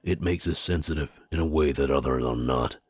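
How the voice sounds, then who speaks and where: An actor playing a solemn, conspiratorial mystic seer in a visual novel game, speaking gravely, deliberately and formally. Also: male voice, extremely low pitch, dry recording, studio quality. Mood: solemn, persuasive. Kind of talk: scripted visual novel character dialogue. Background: background music.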